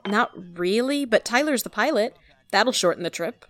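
An actress playing a caring, earnest young daughter who works as a space mission scientist in an audio drama. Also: female voice, a little high, animated, normal speed, fairly bright tone, no echo. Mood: earnest, light. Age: young adult.

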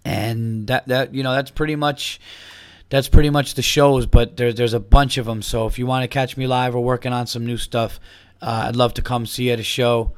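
A comedian chats alone into the mic, rambling and upbeat. He is coming down with a cold, and his voice is starting to go. His pitch stays fairly level, and the recording is dry and close. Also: flat delivery, normal speed, smooth, dry recording. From New York. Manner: rambling, upbeat, with restarts and plugs. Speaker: comedian hosting his own podcast. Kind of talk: casual solo podcast chat.

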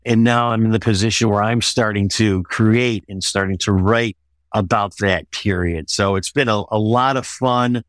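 A man's voice: on the low side, fairly even intonation, normal speed, a bit bright, dry recording. Male author in a dry, close interview. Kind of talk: interview conversation about own writing.

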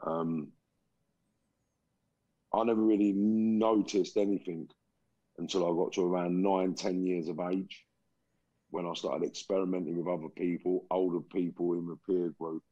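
Man in his 40s, very low mellow voice, dry dull call audio, measured and reflective. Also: steady tone, dry recording. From England. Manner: slow, reflective, many pauses and ums. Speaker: guest. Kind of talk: life story interview.

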